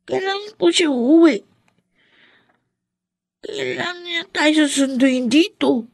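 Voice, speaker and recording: A small, innocent child in a radio drama. The voice is high and a little creaky, with slow, simple, polite speech and a plaintive longing for an absent parent. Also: exaggerated voice. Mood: wistful, waiting.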